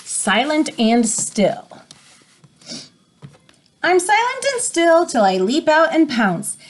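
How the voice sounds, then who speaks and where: Woman as a small stealthy weasel, boastful, rhyming storybook lines, highish, animated. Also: slow, a little hiss or hum, full character voice.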